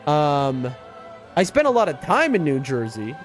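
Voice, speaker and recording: A man with a New York accent and a fairly high voice talks casually through a live game stream. He rambles along and speaks straight to his viewers. Music plays behind him. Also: huge pitch swings, a little slow. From US East Coast. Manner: rambling, chatty, addresses viewers.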